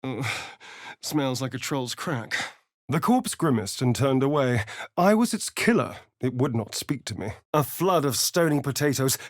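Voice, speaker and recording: Sly, swooping vampire rogue. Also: male voice, medium pitch, dry recording, studio quality. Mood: measured storytelling. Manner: theatrical, arch, haughty delivery. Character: vain, sly, sardonic male vampire spawn adventurer. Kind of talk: video game character voice lines.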